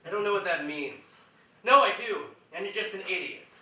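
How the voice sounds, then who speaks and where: A man's voice: sits high, animated, moderate pace, pushed and bright, exaggerated voice. A man on stage playing a pretentious, earnest, pushy film-buff writer, opinionated and declamatory. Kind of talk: theatrical staged-reading dialogue. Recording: distant echoey stage recording, faint noise.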